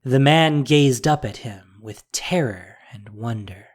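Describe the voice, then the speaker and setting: A narrator with a measured, dramatic storytelling manner, reading a scripted audio drama. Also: mid-range voice, animated. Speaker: podcast narrator and producer.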